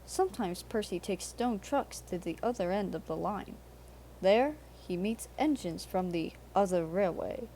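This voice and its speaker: Slow-reading mellow woman storyteller. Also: mid-range voice, animated, a little hiss or hum. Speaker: storybook narrator. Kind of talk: scripted children's story narration, read aloud.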